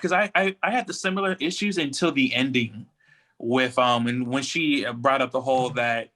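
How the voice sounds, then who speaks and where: A man with a bright, sharp-edged voice of medium pitch talks as a podcast panelist. His delivery is casual and conversational. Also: moderate pace. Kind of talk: casual pop-culture discussion.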